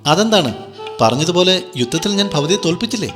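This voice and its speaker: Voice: mid-range voice, expressive, brisk, over music, natural voice. A voice playing a humble young warrior prince who speaks earnestly and with respect.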